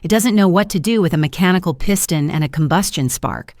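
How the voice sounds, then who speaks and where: A woman's voice: fairly low pitch, lively intonation, brisk, a little mellow, no echo, studio quality, close to an everyday voice. A woman playing a tough, wry ship mechanic.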